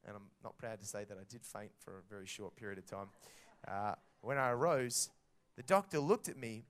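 A man preaching a sermon to children and parents, telling a story in a lively way and acting out the dialogue. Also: lowish voice, fairly quick.

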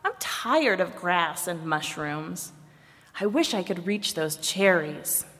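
A woman's voice: on the low side, animated, slow pace, a bit bright. A woman voicing an eager, bossy male head from a folk tale, with exclamatory storybook delivery. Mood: musing, pleased. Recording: long hall reverb.

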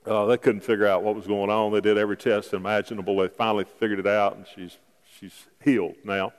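A man's voice: fairly low pitch, level tone, fast talker. A man pastor preaching a sermon, telling personal stories in a conversational way and rising to emphatic calls to his church. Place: church sanctuary. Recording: long hall reverb from a church sanctuary.

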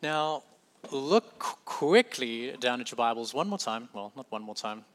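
A man's voice: medium pitch, expressive, unhurried. A man with a South African accent preaching a sermon in a conversational way, correcting himself and adding light humorous asides.

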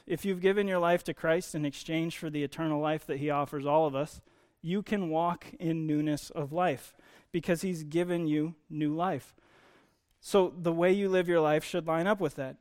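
A man's voice: a little high, a little mellow. A man giving a conversational sermon, explaining Bible teaching in an informal way with emphasis on key words.

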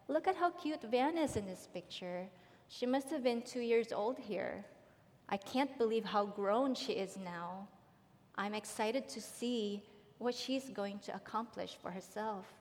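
A middle-aged woman from the Philippines, giving a prepared personal testimony in a large church hall. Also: fairly high pitch. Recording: long hall reverb.

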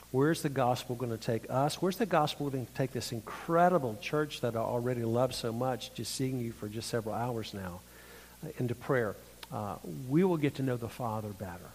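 A middle-aged man with a thin voice gives an earnest, reflective sermon as a conference speaker, often pausing and restarting his phrases. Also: mid-range voice, a little mellow. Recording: long hall reverb.

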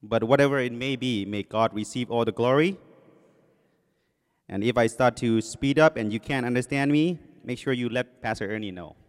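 A man's voice: mid-range voice, moderate pace, clean tone. A man in his forties with a Thai accent, a guest preacher talking from the podium in a joking, self-deprecating way with many fillers. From Thailand. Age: 40s. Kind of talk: sermon introduction. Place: church sanctuary. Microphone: podium microphone. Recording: long reverb of a church hall.

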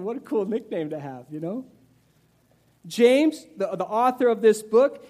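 A man in his forties preaching a sermon in a conversational, animated way, with asides to the listeners and some restarts. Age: 40s. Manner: animated, conversational preaching with rises in pitch and volume. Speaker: pastor.

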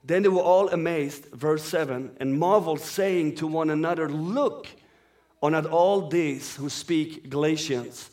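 A man's voice: a little high, a little slow, darkish tone. A man born in Iran and raised in Sweden, whose first language is Farsi, preaching a sermon as a guest speaker and reading scripture in an earnest, flowing way.

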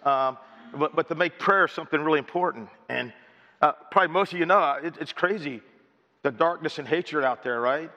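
A slightly hoarse man preaching a sermon and reading scripture, chatty and self-joking, with stammered restarts. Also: fairly high pitch, fairly quick, pushed and bright. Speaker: pastor. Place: church sanctuary. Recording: dull sound with long hall reverb.

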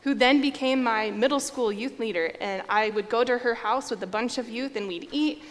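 A young woman from Iowa, a pastor, preaching a sermon with earnest, measured storytelling. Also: fairly high pitch, long reverb tail. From Iowa, United States. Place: church sanctuary.

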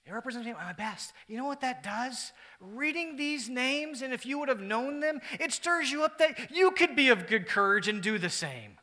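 A man preaching a sermon to his congregation, moving between loud, emphatic stretches and hushed, earnest ones, with joking asides to the room. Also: extremely high pitch, expressive, moderate pace, hardly any pauses. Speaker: pastor.

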